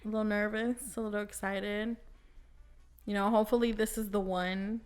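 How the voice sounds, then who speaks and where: A woman's voice: mid-range voice, level tone, normal speed, smooth, faint music behind. A young woman from Peru hosting a casual podcast chat with friends, chatty and laughing often. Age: young adult. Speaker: podcast host.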